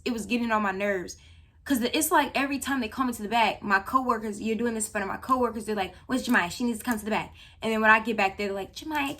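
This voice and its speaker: A woman of nineteen telling a story about a job to viewers in a chatty, animated way. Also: fairly high pitch. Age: young adult. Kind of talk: casual storytime.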